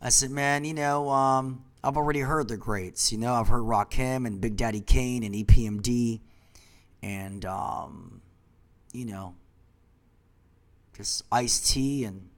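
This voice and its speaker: Stuffed-up man, loose rambling monologue, drifts into mumbled asides, medium pitch. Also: fairly even intonation, measured pace. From Washington, D.C. area. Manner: rambling, trailing off into quiet asides. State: congested. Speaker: company founder.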